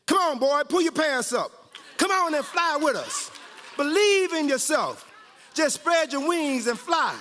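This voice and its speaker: Man doing a storybook eagle leader, proud, rousing oratory, sweeping pitch, echoing hall. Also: very animated, unhurried, pushed and bright, a faint crowd, a little hiss or hum, full character voice. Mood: urging. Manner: preacher-like oratory, bold conviction. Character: proud, wise great eagle rallying its kin. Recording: echoey hall with long reverb.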